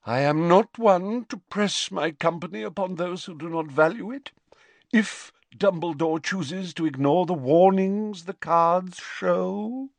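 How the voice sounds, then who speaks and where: A man voicing a haughty older female divination teacher in a storybook, with theatrical, drawn-out words and sniffy, mystical self-importance. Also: sits high, creaky, dry recording, pitched above the performer's own voice. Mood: haughty, indignant.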